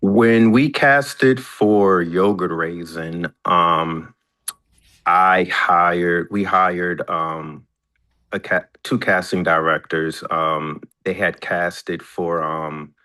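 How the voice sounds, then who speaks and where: A man's voice: low voice, fairly even intonation, drawn-out words, pushed and bright. A man in a casual question-and-answer chat as a filmmaker, speaking hesitantly and repeating words as he searches for details. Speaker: filmmaker and screenwriter.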